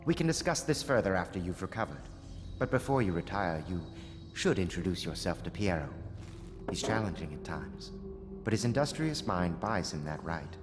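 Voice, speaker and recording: A man's voice: low voice, very animated, normal speed. An actor playing a refined, dry-witted nobleman among conspirators in a video game. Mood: courteous. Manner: genteel, dry, polite. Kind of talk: scripted video game dialogue. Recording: long hall reverb under music.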